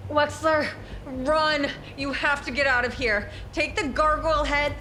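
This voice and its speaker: A woman's voice: high-pitched, steady tone, a little slow. An actress playing a fierce, urgent female soldier creature in an audio drama, strained and commanding. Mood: urgent, desperate. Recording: faint background noise.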